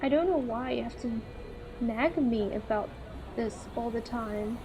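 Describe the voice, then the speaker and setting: Woman playing earnest young husband in a visual novel, high-pitched, dutiful and weary, music behind. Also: a little slow. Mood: weary, defensive.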